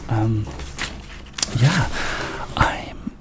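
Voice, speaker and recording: A man's voice: on the low side, lively intonation, very slow, noisy background. A young man from Massachusetts, whispering a rambling ASMR chat as the host of his channel, with many fillers and repeated phrases.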